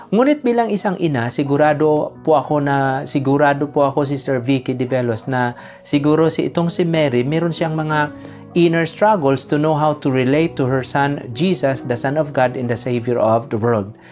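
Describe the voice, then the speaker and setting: A man's voice: a little high, darkish tone. Earnest male pastor preaching to camera. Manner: earnest preaching with frequent "po" and filler pauses. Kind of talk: sermon to camera, mixing Tagalog and English.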